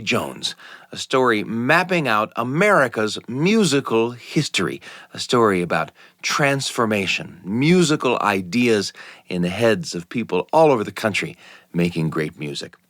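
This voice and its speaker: A man hosting a story program, narrating in a measured, deliberate storytelling manner with dramatic pauses. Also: mid-range voice, a bit bright, no echo, studio quality. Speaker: radio show host and storyteller. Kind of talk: scripted narration and hosted chat.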